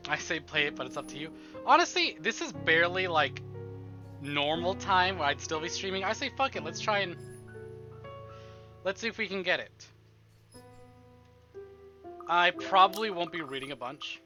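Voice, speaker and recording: A man with a fairly high, very animated voice gives casual livestream commentary on a game. He is expressive and profane, slipping into muttering to himself as he chats with viewers. Music plays clearly behind his voice. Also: normal speed, pauses often. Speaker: live streamer.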